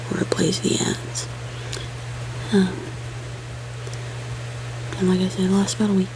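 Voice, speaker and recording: A woman whispering soft, rambling chat as she colors for an ASMR video, sniffling now and then from allergies. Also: medium pitch, completely flat delivery, measured pace, background noise. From United States. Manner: soft-spoken, rambling, chatty aside. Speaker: ASMR creator.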